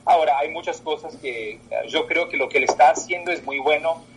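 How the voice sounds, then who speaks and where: A man in his twenties whose first language is Portuguese, answering interview questions in halting speech with many pauses. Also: a little high, a bit bright, slightly rough, low-quality recording. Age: 20s. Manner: halting, with many filler sounds. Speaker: political candidate. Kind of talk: interview by phone.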